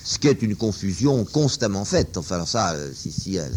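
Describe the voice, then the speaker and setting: A man answering questions on political philosophy, slow and hesitant, often restarting his sentences. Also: sits low, sing-song, a little mellow, slight background noise. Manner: hesitant, halting, many fillers and restarts. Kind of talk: spoken philosophical discussion.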